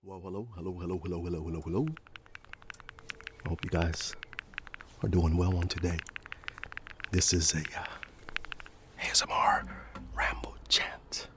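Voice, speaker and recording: A man's voice: low-pitched, flat delivery, moderate pace, long pauses, noisy background. A man giving a rambling, motivational ASMR talk in an earnest, encouraging way, repeating phrases for emphasis. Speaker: ASMR video host.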